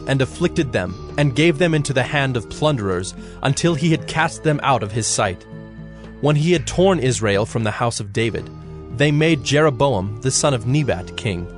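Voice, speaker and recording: A man narrating scripture from a script in a solemn, measured, formal manner. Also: medium pitch.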